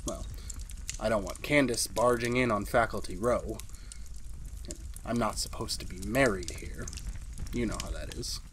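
A woman playing a very low-voiced, slow, nervous husband. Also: slight background noise. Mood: weary, confiding. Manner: hesitant, flustered, confiding. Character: nervous, henpecked husband, a university history teacher. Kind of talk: retro 1940s radio drama, first-person narration.

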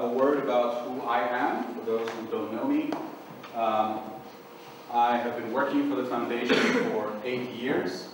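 Loud-voiced man in an echoey room. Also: mid-range voice. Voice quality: fairly loud voice. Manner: relaxed, conversational, with frequent ums and uhs. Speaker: conference presenter. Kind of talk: conference talk to a small group. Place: small conference room with long tables. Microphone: far camera, speaking without a microphone. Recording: echoey small room from far off, noisy.

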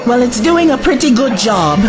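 Young female sidekick played by a woman: high, animated, anxious, music behind. Sci-fi audio drama. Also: moderate pace. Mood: frightened. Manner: excitable, urgent. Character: anxious, impulsive young female travelling companion. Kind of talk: amateur audio drama acting.

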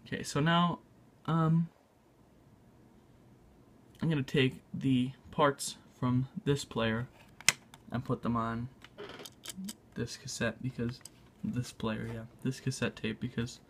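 A man narrating a casual hands-on tutorial in a halting, unscripted way, thinking aloud as he works. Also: medium pitch, fairly even intonation, noisy background. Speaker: video maker demonstrating a repair. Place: indoors at a tabletop.

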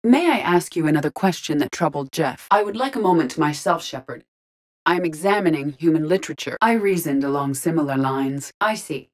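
The onboard computer of a video game starship: a low, measured female machine mind, curious and logical, briefing the crew with clinical formality. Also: lively intonation. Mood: composed, analytical. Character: calm, logical, curious female artificial intelligence in a robot body. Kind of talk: video game character voice lines.

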